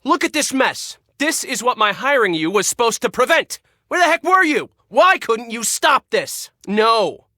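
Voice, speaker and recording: An actor playing a pushy, irritable spa resort manager in a video game, snippy and sarcastic. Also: male voice, fairly high pitch, bright, forceful tone, no room sound, studio quality. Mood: frantic, furious. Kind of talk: scripted video game dialogue.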